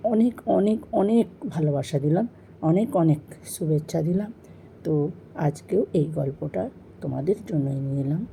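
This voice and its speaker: Lady host, really dark crackly voice, chatting affectionately to viewers, bit of background noise. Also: sits low, gravelly. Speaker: channel host. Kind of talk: casual chatty intro to viewers.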